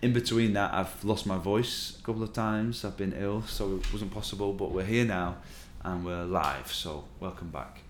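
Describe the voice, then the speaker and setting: Male podcast host, low voice, casual interviewer, roomy echo. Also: moderate pace, few pauses. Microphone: podcast microphone. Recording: roomy echo with faint noise.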